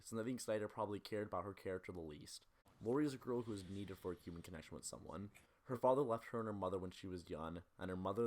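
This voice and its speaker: A man's voice: low voice, a little mellow. A man narrating a scripted film analysis as a video essayist, in a critical, opinionated way.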